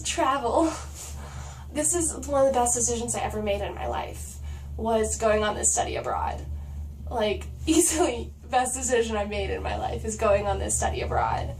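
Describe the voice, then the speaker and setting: A young woman from Alaska talks to her handheld camera outdoors, earnest and emotional. Her voice sits a little high. She laughs easily and slips into soft, breathy asides. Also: a little hiss or hum, low-quality recording. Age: young adult. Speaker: travel vlogger. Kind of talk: casual vlog talk to camera. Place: outdoors in a mountain town.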